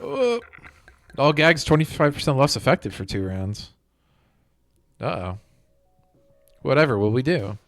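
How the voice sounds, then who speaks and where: A man's voice: medium pitch, big pitch swings, unhurried, pauses often. A man giving casual gameplay commentary as a streamer, with game music behind him.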